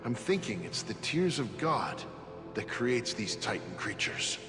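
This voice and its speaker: Lively, thoughtful adventurer. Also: male voice, medium pitch, a little slow. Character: helpful, thoughtful male adventuring companion. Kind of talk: video game cutscene acting. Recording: voice over game music.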